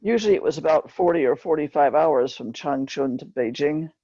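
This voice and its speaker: A woman reads a narrative aloud in plain, measured storytelling phrases, like an author at a book reading. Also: low-pitched, animated, dark tone. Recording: dull, roomy lo-fi recording.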